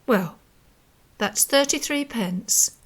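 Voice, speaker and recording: High, chatty village newsagent. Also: female voice, animated, slow, slight background noise. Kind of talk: radio drama acting.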